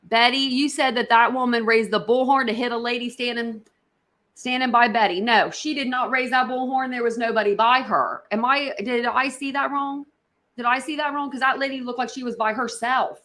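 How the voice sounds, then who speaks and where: A woman's voice: a little high, normal speed, bright, forceful tone. A woman hosting a casual livestream, reacting to videos in a rambling way, repeating herself and talking straight to her viewers. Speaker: livestream host.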